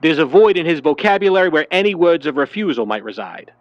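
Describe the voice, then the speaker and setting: A man's voice: fairly high pitch, expressive, fast, no echo, lightly acted. Man acting wry noir sidekick, dull muffled sound. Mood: cautioning. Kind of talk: vintage noir audio drama acting.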